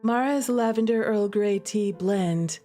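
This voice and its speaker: A woman's voice: mid-range voice, measured pace, dark tone. A woman streamer chatting casually and playfully through commentary on her own game, stressing her points with little tag questions. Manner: playful, giggly, emphatic; repeats "okay?" and "all right?" as tags. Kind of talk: casual livestream commentary and chat. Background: background music.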